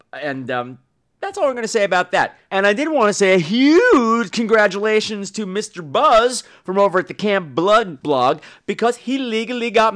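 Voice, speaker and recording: A man hosting a podcast in a casual, chatty monologue, with a campy, theatrical manner and drawn-out emphasis. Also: high-pitched, a bit bright. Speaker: podcast host.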